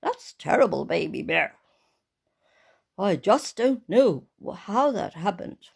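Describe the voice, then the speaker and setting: Woman doing a gruff, lowered Daddy Bear voice. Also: big pitch swings, takes it slowly, exaggerated voice. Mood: concerned. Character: big gruff father bear, grave and concerned.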